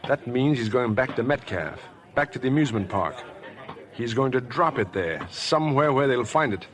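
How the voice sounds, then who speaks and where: An actor playing a tense, protective young athlete in a 1950s radio play, earnest and decisive. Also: male voice, mid-range voice, lively intonation, moderate pace, a bit bright, close to an everyday voice. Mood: tense, urgent. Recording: old radio drama, crowd behind.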